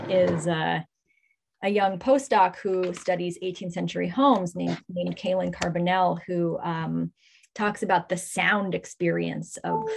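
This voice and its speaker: Woman curator leading a talk, lowish voice with vocal fry, engaged and thankful. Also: a little hiss or hum. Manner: engaged, grateful, frequent ums. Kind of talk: moderating a public talk.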